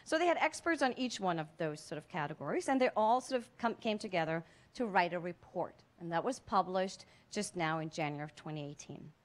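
Animated woman giving a science talk. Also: fairly high pitch. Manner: explanatory, conversational with frequent restarts. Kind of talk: public science lecture. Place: museum cafe stage before a seated audience, indoors. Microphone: handheld mic.

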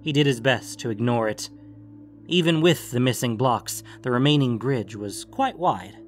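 A man narrates a scripted fantasy tale. His voice sits at an average male pitch, and quiet music runs underneath. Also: lively intonation, normal speed.

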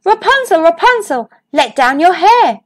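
An earnest young storybook prince, as a woman reader plays him calls out in a high, lively voice that soars upward on his cries for help. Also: unhurried, full character voice.

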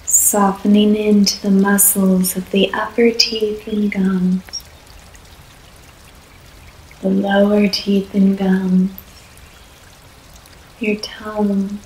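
Very slow soothing woman guiding relaxation. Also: mid-range voice. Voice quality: soft, soothing, unhurried. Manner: long pauses between short phrases. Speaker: yoga nidra guide. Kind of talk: guided relaxation meditation. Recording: echoey room, faint background noise.

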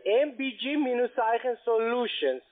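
A man with a foreign accent speaking non-native German, giving a halting phone sales pitch as a call centre agent and often checking with short tag questions. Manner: halting, broken grammar, checks with "ja?" and "ne?". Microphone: telephone. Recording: muffled narrow phone line.

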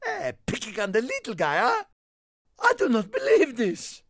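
A man voicing a small, excitable, friendly Italian car in a video game, theatrical and exclamatory, with an Italian accent and broken English. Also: very high voice, moderate pace, no room sound, clean studio sound, heavily acted. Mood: offended. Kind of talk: animated video game character lines.

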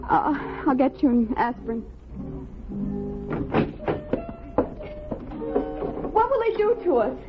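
An actress playing a frightened, pleading young wife on the run, anxious and melodramatic, in 1950s radio drama style. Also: female voice, high voice, big pitch swings, a little fast, loud music behind the voice. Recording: dull vintage radio recording.

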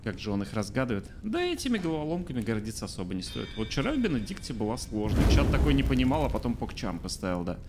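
A man's voice: mid-range voice, very animated, fast talker, hardly any pauses, background noise. A man in his thirties streaming a game from a small room, chatting casually, thinking aloud and answering his chat. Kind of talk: casual let's-play commentary. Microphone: studio mic on arm by face.

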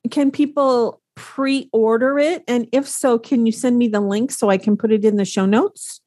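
A woman's voice: on the high side, fairly even intonation, normal speed, mellow, dry recording, studio quality. A middle-aged woman hosting a podcast interview over a video call, enthusiastic and encouraging. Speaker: podcast host.

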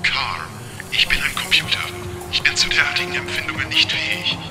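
A man's voice: fairly high pitch, brisk, close to an everyday voice. A man playing a polite, unemotional talking car computer in a German audio drama, speaking precisely and formally. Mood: calm, factual. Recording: loud music under the voice.

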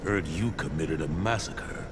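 A super deep menacing hell demon for a fighting game, noisy effects all round. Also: male voice, a little slow. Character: grim, menacing undead hell-born avenger. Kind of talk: video game fight banter.

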